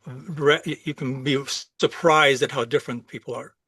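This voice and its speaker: A man's voice: mid-range voice, steady tone, moderate pace, a bit bright, breathy edge, dry recording. A man with a Chicago accent, talking casually in a group discussion with frequent restarts. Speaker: discussion participant.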